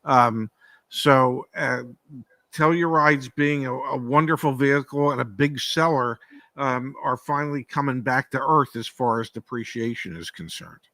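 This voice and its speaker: An older man talking in a measured, hesitant way and often restarting his sentences. His voice sits at an ordinary pitch, and the sound is dry and close with no room echo. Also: sharp tone. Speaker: podcast co-host. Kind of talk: casual live-stream talk.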